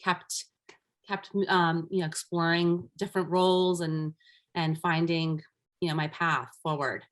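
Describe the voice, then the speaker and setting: A woman's voice: sits low, steady tone, measured pace. Earnest woman telling her career story. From United States, raised by immigrant parents. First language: Armenian. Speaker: guest, coach. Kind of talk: casual interview talk.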